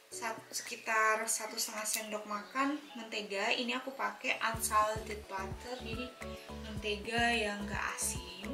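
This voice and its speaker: A woman from Makassar with a soft Makassar accent, chatting through each cooking step in a home kitchen. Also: fairly high pitch. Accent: Makassar Indonesian, softened by years in Jakarta. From Makassar, Indonesia. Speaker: cooking vlog host. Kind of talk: casual cooking demonstration. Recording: echoey kitchen, far from the mic, loud music.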